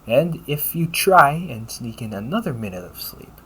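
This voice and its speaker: A man voicing an upbeat, teasing AI companion in an audio roleplay, polite and fussily helpful, with a gently nagging manner. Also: medium pitch, sing-song, normal speed, slightly rough, poor audio. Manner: polite, chirpy, gently nagging, butler-like phrasing. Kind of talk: scripted audio roleplay addressed to the listener.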